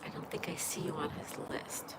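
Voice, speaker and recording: A woman hosting a casual livestream, with a stuffed-up nose, greeting viewers by name and reading their chat aloud. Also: normal speed. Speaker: livestream host. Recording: loud background noise.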